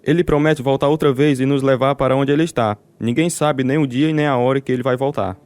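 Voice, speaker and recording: A man's voice: medium pitch, steady tone, fast, darkish tone, natural voice. Actor as an earnest, devout man, guitar music behind. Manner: earnest, resolute. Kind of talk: first-person radio drama narration.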